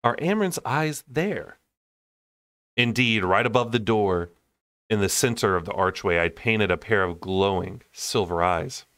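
A young man reads a story aloud. His voice sits a little low. He narrates expressively in audiobook style, and the recording is dry. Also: steady tone, normal speed, a bit bright, clear, resonant tone, dry recording. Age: young adult. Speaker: storyteller reading a novel aloud.

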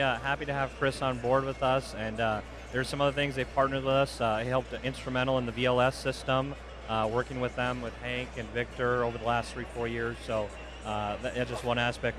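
A business development rep interviewed on a busy trade show floor, speaking matter-of-factly. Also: male voice, medium pitch, little pitch movement, a little fast, background noise.

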